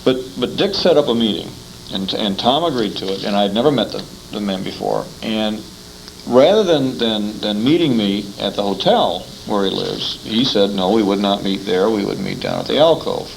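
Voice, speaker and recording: An American man conducting a conversational interview, haltingly recounting details and repeating words as he goes. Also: fairly low pitch, creaky, a little hiss or hum, low-quality recording.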